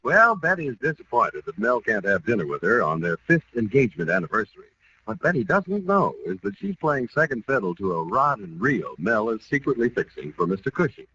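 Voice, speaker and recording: A man's voice: mid-range voice, sing-song, brisk, distant mic. A man with an American accent setting the scene as announcer in a 1940s radio show. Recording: noisy, muffled old radio recording.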